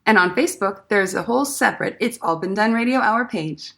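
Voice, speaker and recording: A woman's voice: mid-range voice, a little fast, gravelly, fairly bright tone. A woman announcer reading scripted end credits for a radio show.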